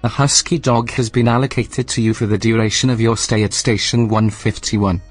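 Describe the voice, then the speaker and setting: Guy playing a calm deadpan ai assistant in a sci-fi audio drama, mid pitched male voice, faint music. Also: normal speed, husky, close to an everyday voice. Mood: matter-of-fact. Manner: deadpan, matter-of-fact. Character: Wilkins, unflappable literal male station computer assistant.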